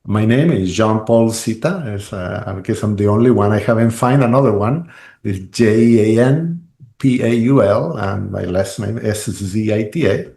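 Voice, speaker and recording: A man's voice: lowish voice, normal speed, vocal fry, dark tone. Man, chatty, roomy sound. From Chile. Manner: rambling, restarts, many "right?" tags. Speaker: guest. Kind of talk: podcast interview.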